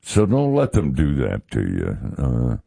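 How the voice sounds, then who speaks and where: A male talk radio host with an extremely deep, gravelly voice. He talks slowly and deliberately in a folksy, conversational way, his pitch moving freely for emphasis. The sound is close and dry. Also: wildly swooping pitch, warm tone, dry recording.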